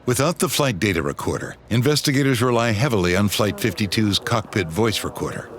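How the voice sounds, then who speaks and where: A man's voice: on the low side, lively intonation, a little fast. A man reading scripted, dramatic documentary narration as the narrator. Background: dramatic music bed.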